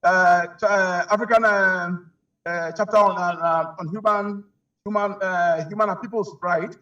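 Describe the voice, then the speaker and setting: A man with a Nigerian accent giving his views as an interview guest, halting and repeating himself as he searches for words. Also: sits high, steady tone, sharp tone, far from the mic, dull audio. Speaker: human rights advocate, interview guest. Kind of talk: spoken opinion in a news interview.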